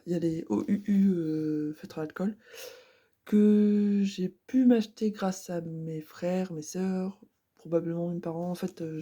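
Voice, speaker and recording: A woman's voice: fairly low pitch, mellow, husky. Stuffy-nosed young woman chatting casually. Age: 20s. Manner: chatty, many hesitations. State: stuffy nose from a cold. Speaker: craft vlogger. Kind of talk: casual chat.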